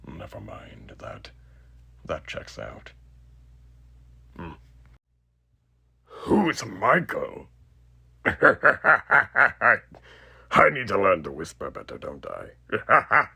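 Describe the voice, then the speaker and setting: An old, undead killer machine from a horror audio drama, gleefully menacing his captive. The voice is harsh, piercingly bright and full of gravel, swinging widely between mocking highs and low muttering. He takes his time, theatrical and cruel. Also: wildly swooping pitch, very slow, long pauses, exaggerated voice. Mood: irritated, muttering, then bitter, menacing. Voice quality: raspy, gravelly. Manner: theatrical, mocking, muttering asides, sinister chuckles. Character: sadistic elderly undead killer animatronic, gleefully menacing captor.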